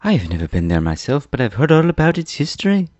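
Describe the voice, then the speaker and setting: A man voicing an eager, proud young boy adventurer in a storybook, explaining things excitedly. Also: mid-range voice, quick pace, no room sound, lo-fi sound, pitched above the performer's own voice.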